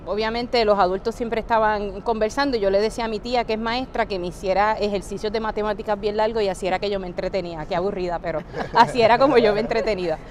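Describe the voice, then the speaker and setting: A woman's voice: mid-range voice, slight background noise. A woman with a Puerto Rican Spanish accent, a scientist in a roundtable, who talks chattily with many fillers. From Puerto Rico. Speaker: NASA scientist. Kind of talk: roundtable conversation.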